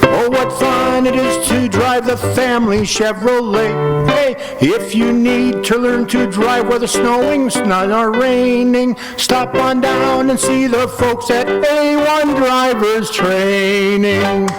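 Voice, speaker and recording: A man sings a vintage radio advertising jingle. His voice is extremely high and slow in delivery, with prominent plucked guitar behind it. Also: fairly even intonation, poor audio. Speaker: singer of a sponsor jingle. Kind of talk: sung old-time radio advertising jingle. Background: plucked guitar music.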